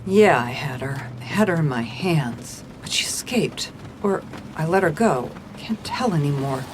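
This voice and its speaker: A woman's voice: low-pitched, lively intonation, measured pace, slight background noise, poor audio. An actress playing a hard-boiled, weary female police commissioner in a noir audio drama, with a wry, clipped delivery. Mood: bitter, defeated. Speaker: narrator and lead character.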